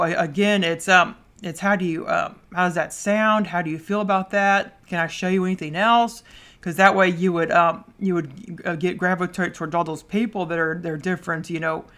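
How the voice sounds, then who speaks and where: A woman hosting a solo podcast in a casual way, loud and fast, with frequent stumbles and repeated words. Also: lowish voice. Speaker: podcast host.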